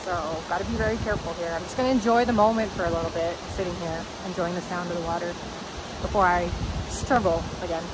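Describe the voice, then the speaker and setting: A woman's voice: lowish voice, steady tone. Woman vlogging outdoors amid noise. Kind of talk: casual outdoor vlog chat. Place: outdoors on a rocky creek trail. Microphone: handheld camera at arm's length. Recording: noisy outdoor recording with wind and rushing water.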